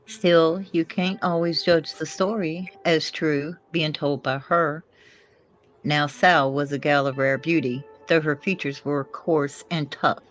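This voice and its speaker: A woman playing a young female outlaw who recites her own poem in a measured storytelling cadence. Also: low-pitched, fairly even intonation, rough recording. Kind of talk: verse recitation within a screenplay reading.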